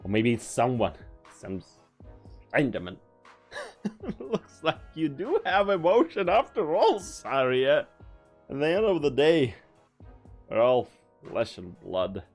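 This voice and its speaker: A sly, coldly amused scientist played by a woman teases in a low, very creaky drawl. Her pitch swings dramatically as she lingers on her words, like a game villain, over faint music. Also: sing-song, slow pace, long pauses, a little mellow, heavily acted. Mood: taunting. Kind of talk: English fan dub of an anime game.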